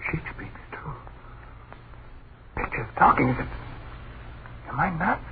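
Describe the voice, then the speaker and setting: An actor playing a horrified husband, speaking in hushed, tense, clipped phrases in a 1930s radio horror drama. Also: male voice, fairly high pitch, sing-song, takes it slowly, heavily acted. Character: horrified husband witnessing a ghostly murder. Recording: noisy, tinny 1930s radio recording.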